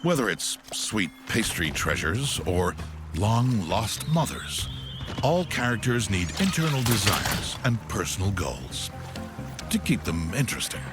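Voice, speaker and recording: An actor playing a pompous, self-aware narrator in a comedy game, grandiose and measured. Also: male voice, on the low side, big pitch swings. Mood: grandly wry. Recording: loud music underneath the voice. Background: music.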